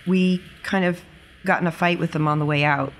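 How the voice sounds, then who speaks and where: A woman playing an anxious niece who speaks haltingly in a tabletop game. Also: on the low side, fairly even intonation, natural voice. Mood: anxious, urgent. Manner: halting, repeats words when flustered. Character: anxious young niece, worried for her mother.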